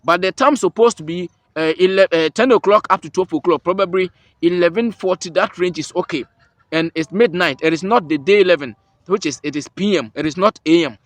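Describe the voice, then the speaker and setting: A Ghanaian man with a fairly high voice talks through a remedy one careful step at a time, going back over each point to drive it home. The sound is close and dry, with no room around it. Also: brisk, dry recording. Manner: repetitive, emphatic, restates each step. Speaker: herbal remedy channel host. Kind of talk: step-by-step spoken instructions.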